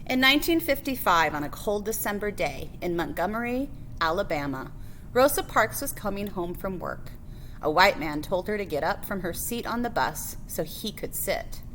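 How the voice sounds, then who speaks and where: A woman's voice: medium pitch, very animated, normal speed, a bit bright, a little hiss or hum. A woman reading a children's picture book biography aloud as a librarian, phrase by phrase with emphasis on key words.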